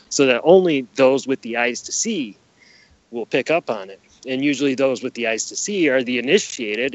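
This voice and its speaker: A man's voice: medium pitch, no echo, highs cut off. A man speaking as an interview guest, explaining things casually and emphatically, often repeating his phrases. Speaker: podcast guest.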